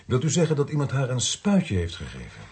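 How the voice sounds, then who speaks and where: Wry, husky police inspector. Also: male voice, medium pitch, huge pitch swings, fairly quick, darkish tone, exaggerated voice. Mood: sceptical. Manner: dry, sardonic. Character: wry, sceptical, world-weary male police inspector. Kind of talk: Dutch radio play acting with narration.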